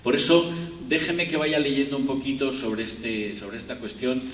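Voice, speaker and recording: A man's voice: mid-range voice, normal speed, fairly bright tone. A man from Spain with a Spanish accent, giving a rambling university lecture with many fillers and restarts. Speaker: guest lecturer. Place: lecture hall. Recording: echoey hall, distant, faint room noise.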